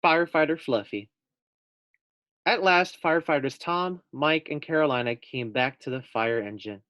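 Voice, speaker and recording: A young man, a teacher, reading a picture book aloud to first graders, gentle and unhurried with friendly asides. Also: a little high, no room sound, highs cut off.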